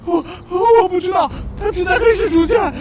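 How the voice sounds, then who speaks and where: An actor playing a cowardly supply soldier in an animated drama, ingratiating, evasive and easily flustered. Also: male voice, very high-pitched, fairly quick, noisy background. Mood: panicked. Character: shifty, cowardly male supply-convoy soldier. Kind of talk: dramatic dubbed animated-comic dialogue. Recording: loud music behind the voice.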